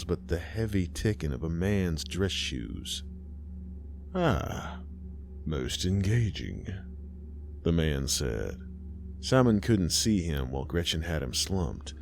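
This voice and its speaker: A man narrating a horror story in a slow, grim, deliberate way. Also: sits low.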